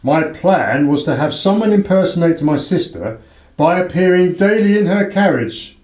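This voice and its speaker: A man's voice: high voice, lively intonation, moderate pace, darkish tone, echoey room. A man acting in a radio play as a proud, desperate baronet, formal, defensive and imperious. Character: proud, desperate English baronet, indebted horse owner.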